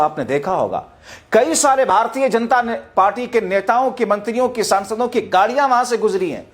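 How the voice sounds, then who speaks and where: A man with a high voice delivers dramatic political commentary over background music. He is emphatic and rhetorical, speaking straight to his viewers like a news presenter. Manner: emphatic, rhetorical, often addressing viewers as friends. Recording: music bed behind the voice.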